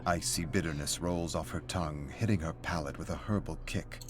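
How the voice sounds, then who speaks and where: A man reading a scripted dark story as a narrator. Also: very deep voice, brisk. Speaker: reader of story entries. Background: music.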